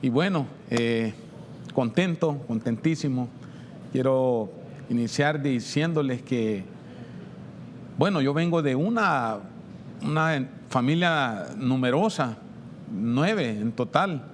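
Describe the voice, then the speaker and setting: A man with a Salvadoran accent giving an informal personal testimony in a halting way, repeating words and pausing. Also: mid-range voice, expressive, a little hiss or hum. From San Salvador, El Salvador.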